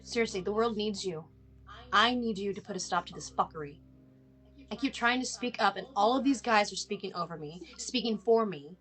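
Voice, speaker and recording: A woman's voice: medium pitch, fairly quick, a bit bright, soft background music, natural voice, poor audio. A woman playing a shaken but defiant survivor, speaking earnestly in a film script read-through. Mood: fervent, defiant. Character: shaken woman survivor, self-doubting yet angry and defiant.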